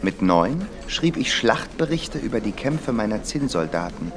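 A man's voice: on the low side, very animated, measured pace, over music. An actor playing an observant composer reading out his travel diary in a radio play, reflective and precise, with vehicle noise behind him. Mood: matter-of-fact.

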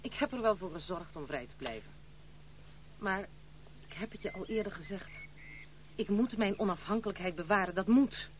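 A woman's voice: mid-range voice, expressive, normal speed, pauses often, lightly acted. An actress playing a proud, independent young noblewoman in a radio play, speaking formally, firmly and with dignity. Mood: resigned, grave. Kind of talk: old radio play acting. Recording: tinny, band-limited, hissy old radio recording.

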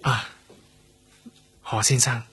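An actor with a Hong Kong accent playing a lonely, reserved young trainee doctor, polite and guarded, in a radio drama. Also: male voice, extremely slow, a bit bright. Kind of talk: Cantonese radio drama acting. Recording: prominent music behind the voice.

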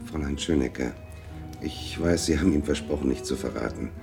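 An actor playing a calculating investigator in a radio drama, speaking in a measured, formal and insistent manner. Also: male voice, extremely low pitch, vocal fry, music in the background. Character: persistent, calculating male investigator hired to track someone down. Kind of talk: naturalistic radio drama acting.

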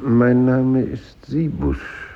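An actor playing an earnest schoolteacher in a 1950s radio drama, formal, probing and insistent. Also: male voice, mid-range voice, big pitch swings, drawn-out words, heavily acted. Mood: polite, concerned. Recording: muffled old radio recording.

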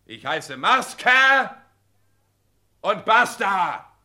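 Pompous, animated lecturing husband. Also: male voice, high voice, drawn-out words, heavily acted. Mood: indignant, dramatic. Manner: pompous, declamatory, lecturing, with affected French phrases. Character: pompous, self-important husband, vain grandiose storyteller and lecturer. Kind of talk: 1960s German radio play acting.

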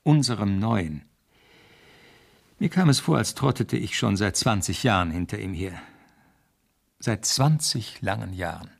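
An actor playing a young, put-upon police constable who narrates a 1970s radio crime drama, wry and husky. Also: male voice, fairly low pitch, expressive, unhurried, pauses often, heavily acted. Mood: weary, wry.

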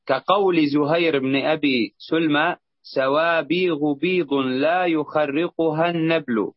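A man with a slightly high voice reads a passage aloud for the class, measured and careful. The sound is dry and dull, with no room echo. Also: fairly even intonation. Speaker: student reading aloud. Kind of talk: reading a text aloud in class.

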